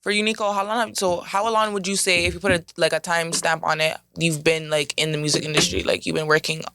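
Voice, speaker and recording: A woman hosting a casual podcast, asking questions in a raspy voice and stuttering now and then. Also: on the low side, little pitch movement, fast, background noise. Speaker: podcast host. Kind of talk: casual interview chat.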